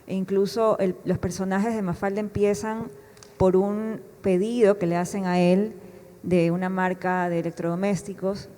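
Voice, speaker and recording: Mellow-voiced woman panelist. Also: mid-range voice, little pitch movement, moderate pace. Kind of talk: panel discussion. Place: book fair panel hall. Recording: echoey hall sound.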